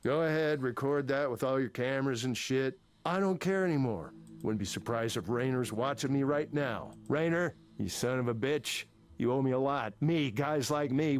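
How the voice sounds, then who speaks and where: An actor playing an angry, embittered older tech manager, combative, sarcastic and sweary, in a visual novel game. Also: male voice, medium pitch, darkish tone, natural voice.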